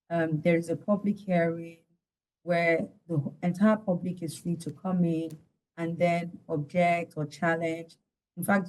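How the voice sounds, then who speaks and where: Nigerian lady analyst explaining stuff in an interview, lowish voice, careful and pauses a lot. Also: darkish tone, low-quality recording. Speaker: studio guest analyst.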